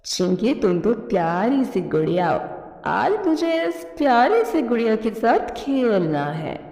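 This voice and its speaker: A woman's voice: fairly high pitch, very animated, slow, dark tone, exaggerated voice. A woman voicing a sly male crow uncle in a cartoon story, wheedling with false sweetness. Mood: falsely sweet. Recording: echoey reverberant studio track.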